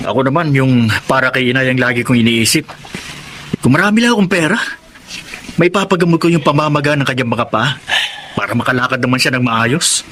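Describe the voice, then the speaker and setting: A poor, discontented working man, acted by a man, with a mid-pitched voice and a complaining, wistful manner. The radio drama scene has clear noise behind it. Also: steady tone, brisk, long pauses, a bit bright, natural voice. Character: resentful poor working man, devoted son. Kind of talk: Tagalog radio drama acting.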